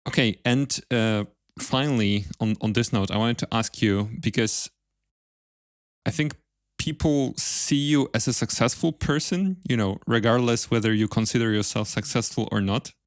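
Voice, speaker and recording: A man's voice: mid-range voice, moderate pace, no echo, clean studio sound. A man hosting a podcast, putting casual questions and remarks to his guest. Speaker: podcast host, interviewer.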